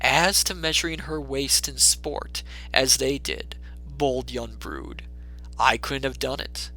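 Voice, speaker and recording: Bright-voiced man narrating a classic tale. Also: mid-range voice, fairly even intonation, slow pace, faint music behind, poor audio. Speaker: storyteller.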